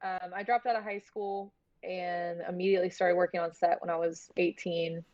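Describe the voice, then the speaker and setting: A woman's voice: lowish voice, little pitch movement, moderate pace, a little mellow, no room sound, dull audio. A woman chatting casually and candidly as an interview guest, talking like a filmmaker about her work.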